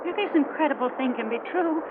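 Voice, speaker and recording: A tinny, noisy old radio broadcast carries a frightened young woman. Her voice is very high, breathless and earnest, pitched as vintage horror melodrama. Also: lively intonation, quick pace. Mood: shaken, wondering. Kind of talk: 1940s radio horror acting.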